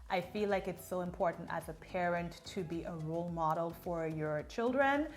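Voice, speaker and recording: A woman in her thirties from Trinidad and Tobago, chatting casually and playfully as she answers get-to-know-me questions. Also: on the low side. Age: 30s. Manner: expressive, playful, reads questions aloud then answers. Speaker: beauty vlogger. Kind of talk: casual Q&A vlog.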